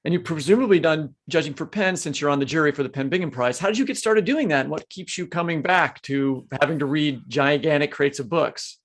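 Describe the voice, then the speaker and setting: A man's voice: on the high side, a little fast, hardly any pauses. A man co-hosting a literary podcast, chatting casually and reading out award nominees with small asides. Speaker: podcast co-host. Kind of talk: podcast conversation, reading lists.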